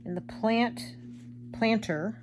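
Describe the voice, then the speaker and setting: An older woman chatting casually and rambling as she embroiders at home, self-deprecating, with a loud projecting voice. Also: on the high side, slow pace, noisy background. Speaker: craft vlogger. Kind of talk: casual chat while embroidering.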